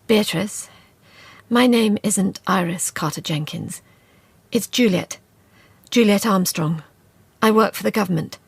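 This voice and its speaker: A woman voicing a poised, quick-witted young female spy who talks in a bright, persuasive way. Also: mid-range voice, creaky, husky, no echo.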